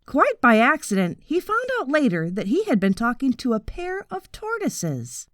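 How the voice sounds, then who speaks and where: Expressive woman reading a children's story, lively pitch. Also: moderate pace, no room sound, studio quality. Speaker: audiobook narrator. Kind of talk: scripted children's story narration.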